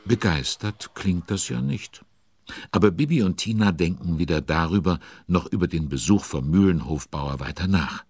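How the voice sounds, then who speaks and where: A man playing a chatty storyteller in a children's audio drama, with an expressive, conversational manner. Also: low voice, normal speed, slightly rough, dry recording, exaggerated voice. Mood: engaged storytelling. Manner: conversational, expressive, asking questions. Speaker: narrator.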